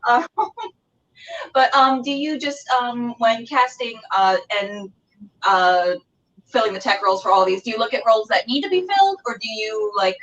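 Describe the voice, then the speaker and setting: A woman's voice: fairly high pitch, normal speed, fairly bright tone, highs cut off. A woman hosting a casual interview, chatting in an informal, effusive way. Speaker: interviewer and show host. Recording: distant mic with background noise.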